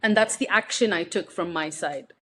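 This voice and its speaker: A woman's voice: fairly low pitch, normal speed, fairly bright tone, a little hiss or hum. A young woman from India, speaking as an interview guest in a reflective, hesitant way with frequent fillers and restarts. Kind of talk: personal interview conversation.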